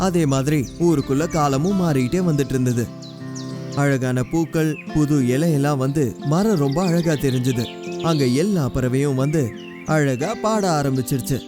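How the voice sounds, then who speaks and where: A man's voice: a little high, normal speed. A man narrating a scripted children's story in a colloquial storytelling manner. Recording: music behind the voice.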